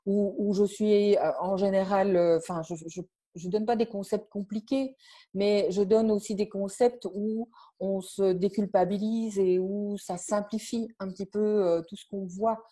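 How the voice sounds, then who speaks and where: A woman, a nutrition coach interviewing a client with gentle, searching questions. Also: medium pitch, fairly even intonation, unhurried.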